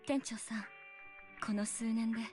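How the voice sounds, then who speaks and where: An actress plays an earnest young woman, a singer in an anime-style game. Her voice is high, and plucked guitar music plays clearly behind her. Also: steady tone, a little fast, a little mellow, natural voice. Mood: troubled. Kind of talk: Japanese video game voice acting.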